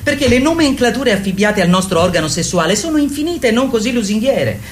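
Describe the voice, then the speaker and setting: A woman's voice: a little high, expressive, fast, slight background noise, natural voice. An actress playing an assertive female therapist who leads a women's group in a didactic, declamatory way in a radio play. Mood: indignant, ironic. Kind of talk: theatrical radio play monologue.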